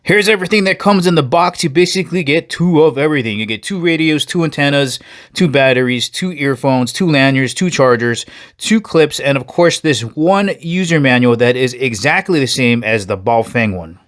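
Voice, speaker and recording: Male reviewer, mid-pitched, casual and conversational, explaining a product step by step to viewers. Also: moderate pace, fairly bright tone. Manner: conversational, addresses viewers as guys.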